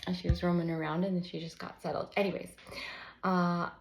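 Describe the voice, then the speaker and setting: A woman's voice: lowish voice, flat delivery, gravelly, music in the background. Nervous woman in her forties, roomy echo. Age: early 40s. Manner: nervous, candid, self-conscious, halting. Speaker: counselor and children's book author. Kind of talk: unscripted personal vlog, reading own manuscript. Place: indoors at a desk, live unedited home recording. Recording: roomy echo of an untreated room.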